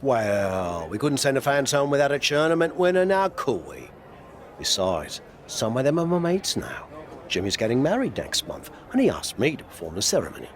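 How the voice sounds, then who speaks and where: A man's voice: mid-range voice, big pitch swings, moderate pace, a little hiss or hum, close to an everyday voice. A male actor plays a jovial British wrestling coach in a game cutscene. His voice swings freely up and down, chummy and breezily boastful. Some outdoor ambience sits faintly behind him. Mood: breezy, challenging. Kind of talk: video game cutscene acting.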